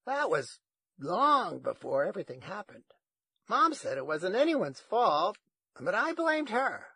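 A man voicing an addled, sly old grandmother in a high, strained, wandering voice for a story. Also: big pitch swings, measured pace, pushed and bright, husky, dry recording, clean studio sound, exaggerated voice. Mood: plaintive. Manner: wistful, wandering, hesitant.

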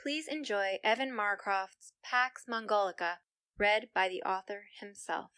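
A woman's voice: medium pitch, unhurried, sharp tone. A woman hosting a podcast, giving a polished, upbeat scripted introduction to a story episode. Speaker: podcast host.

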